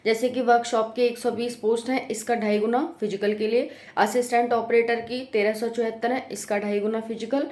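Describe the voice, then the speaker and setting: Female coach, western UP Braj accent, higher voice, informal and direct explainer style, recorded in a very echoey room. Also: flat delivery, normal speed, few pauses, darkish tone. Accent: Braj, western Uttar Pradesh. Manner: colloquial, direct, checks in with tag questions. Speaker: exam coaching YouTuber. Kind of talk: informal explanatory talk to students.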